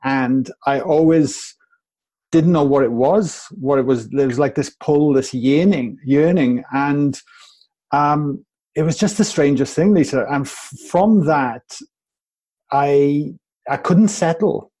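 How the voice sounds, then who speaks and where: A man's voice: fairly high pitch, takes it slowly, warm tone. A Welsh man in his forties, a guest on a podcast over a video call, reflective and rambling with many restarts. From Wales. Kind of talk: podcast interview.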